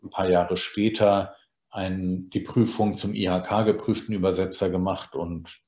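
A man's voice: deep voice, flat delivery, measured pace, a little mellow. A middle-aged bilingual man, raised in Germany and England, presenting an online lecture from his home study with many "äh" fillers. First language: German and English, bilingual. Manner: explains slide points, frequent "äh" fillers. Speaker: translator giving a presentation. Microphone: webcam on a video call. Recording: roomy, dull lo-fi sound.

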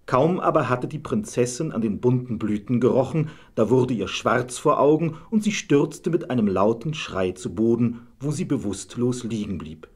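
Male storyteller, medium pitch, husky grain, measured scripted fairy-tale narration. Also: animated, a little mellow.

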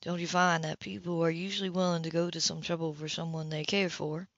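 A woman voicing a flirtatious, smooth-talking man in his early thirties, teasing and persistent, in an audiobook romance. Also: deep voice, flat delivery, brisk, dry recording, dull audio, voice pushed down. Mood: curious, teasing.

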